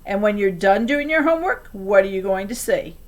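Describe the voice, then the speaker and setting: Storybook villain: woman reader as a gruff man, curt, snappish and menacing. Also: medium pitch, expressive, brisk, natural voice.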